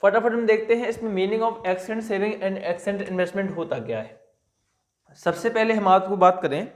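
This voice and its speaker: Male teacher, high voice, roomy echo, step-by-step explanations, speaks to his students. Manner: reads English definitions slowly, then explains in Hindi. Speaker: commerce teacher. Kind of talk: classroom lecture.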